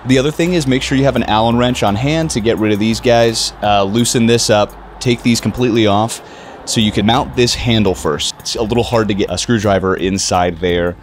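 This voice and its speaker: A man's voice: on the low side, a little fast, a little hiss or hum. A young American man in his twenties presenting a product setup tutorial in a casual but scripted way, close to the microphone.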